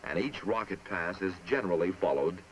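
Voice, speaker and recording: A man narrating a military training film in a formal, measured, instructive manner, reading from a script. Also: low-pitched, lively intonation. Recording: muffled, noisy old film soundtrack.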